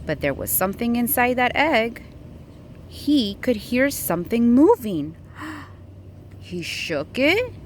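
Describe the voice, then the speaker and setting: A woman reading a picture book aloud to a child in an expressive, engaging way, with questions for the listener. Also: fairly high pitch, slow pace, a little hiss or hum.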